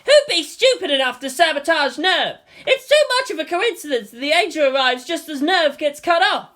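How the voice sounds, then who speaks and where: A reader acting out an impassioned young warrior-preacher, high and bright in voice. He declaims with zeal, as if rallying a crowd in an audio story. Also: lively intonation, moderate pace. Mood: grave, insistent. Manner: oratorical, zealous, declamatory. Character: impassioned young man preaching with chainsword through megaphone. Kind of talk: dramatic fan fiction reading.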